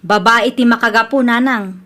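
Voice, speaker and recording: Comedy radio drama role: stubborn, outspoken young woman, fed up, distinctly high voice, played by a woman. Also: moderate pace, sharp tone. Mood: complaining.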